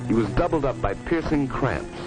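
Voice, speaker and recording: A man's voice: medium pitch, lively intonation, normal speed, loud music behind the voice, rough recording. A man reading a scripted 1950s educational film narration in a grave, dramatic manner on a vintage soundtrack. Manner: grave, stark, dramatic delivery.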